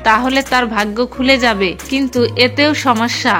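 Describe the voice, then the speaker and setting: A woman playing a storytelling mother and narrator in a cartoon fairy tale, with an expressive delivery. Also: a little high, moderate pace, faint music behind. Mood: wistful storytelling.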